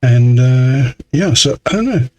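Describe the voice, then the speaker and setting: A man's voice: medium pitch, animated, measured pace, a little mellow, lo-fi sound. A man giving casual let's play commentary in a grumbling, exasperated, mildly sweary way. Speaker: gaming video host.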